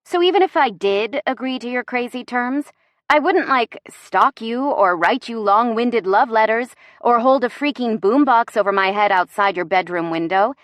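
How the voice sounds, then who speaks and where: A woman's voice: fairly high pitch, expressive, moderate pace, fairly bright tone, no room sound, clean studio sound, pitched above the performer's own voice. A woman voicing a sassy, easily flustered young heroine with bantering, defiant delivery in a romance audiobook. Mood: indignant.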